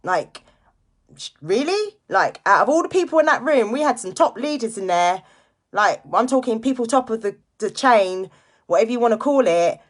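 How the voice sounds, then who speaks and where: A woman's voice: a little high, lively intonation, moderate pace. Emphatic woman in her late forties. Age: late 40s. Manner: emphatic, repeats key phrases for effect; chatty, informal direct address to listeners. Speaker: live-stream host, direct seller giving motivational talk. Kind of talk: casual motivational live chat to viewers.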